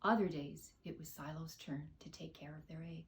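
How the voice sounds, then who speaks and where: A woman's voice: low-pitched, fairly even intonation, normal speed, slight background noise. A woman on a school staff reading a children's picture book aloud. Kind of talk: children's storybook read-aloud.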